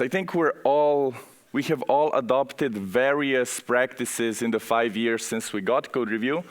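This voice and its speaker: A man with a Slovenian accent presenting at a conference in an informal, conversational way, speaking directly to the audience. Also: medium pitch. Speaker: conference speaker, software architect. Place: conference session room, on stage.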